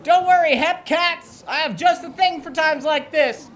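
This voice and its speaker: A chipper 1950s hepcat gal from a radio play, acted by a woman. She is high-pitched, breezy and slangy, eager to help. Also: a little slow. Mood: chipper, reassuring. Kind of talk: 1950s radio drama acting.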